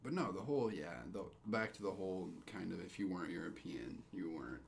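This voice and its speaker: Deep gravelly young man. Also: expressive, brisk, a little hiss or hum. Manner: laid-back, brief agreeing interjections, casual swearing. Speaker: podcast co-host. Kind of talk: casual chat.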